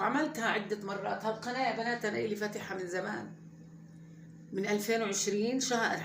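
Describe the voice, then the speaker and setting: A woman's voice: medium pitch, reverberant, noisy background. A woman, hosting a home cooking channel and chatting casually and rambling straight to her viewers from her kitchen. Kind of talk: casual cooking vlog chat.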